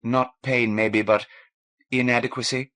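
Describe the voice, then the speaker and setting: A man voicing a warm, frank Irish woman, a ship's doctor, in a lilting, coaxing manner for an audiobook. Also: mid-range voice, level tone, measured pace, natural voice.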